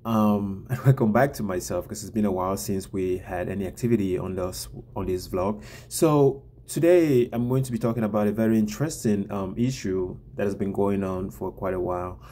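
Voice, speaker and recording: A man's voice: lowish voice, moderate pace, hardly any pauses, warm tone. A man in his thirties from Cameroon, hosting his own vlog and sharing political views to camera, with many ums and repeated words, recorded on a laptop camera in a small room. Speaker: vlog host. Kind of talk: casual political commentary to camera.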